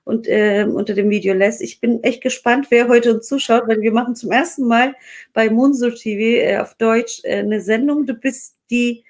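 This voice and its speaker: A woman's voice: a little high, steady tone, moderate pace, darkish tone. A woman from Dersim hosting a live online interview, chatting casually with many fillers and restarts. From Dersim, Turkey. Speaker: host.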